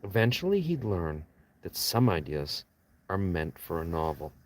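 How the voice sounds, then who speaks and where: A man's voice: low voice, very animated, measured pace, slight background noise. A man, an author reading his own novel aloud as an audiobook narration, with casual asides to listeners.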